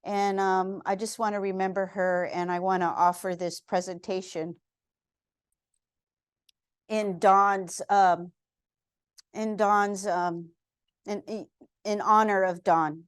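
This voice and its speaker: A woman in her fifties from Texas giving an earnest, heartfelt museum lecture, pausing often with fillers as she speaks. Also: medium pitch, little pitch movement, smooth, no echo, studio quality. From San Antonio, Texas. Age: 50s.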